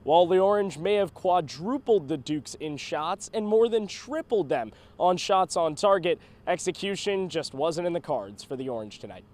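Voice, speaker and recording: A man's voice: high-pitched, expressive, normal speed, hardly any pauses, a little mellow. A man working as a sports reporter and announcer, delivering game news and commentary in a punchy, emphatic way.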